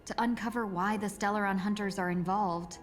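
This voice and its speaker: A courteous, composed female envoy from a fantasy game, played by an actress. She speaks in formal, measured phrases at a medium pitch, with clear background music under her voice. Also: fairly even intonation, lightly acted. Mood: measured, confiding. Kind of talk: scripted game voice acting.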